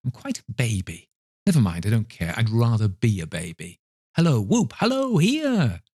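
A man's voice: on the low side, normal speed, dark tone, slightly rough, dry recording. An old miser from a storybook, acted out by a man, repentant and wildly fervent. He exclaims breathlessly and theatrically, in a very dry recording with no room sound. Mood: giddy elation. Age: older. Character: excitable old miser, repentant, giddy with joy.

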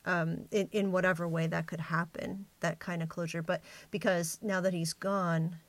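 Woman interviewer, reflective, kinda tentative thinking out loud, dry audio. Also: fairly low pitch, flat delivery, moderate pace, no room sound. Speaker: podcast host, interviewer.

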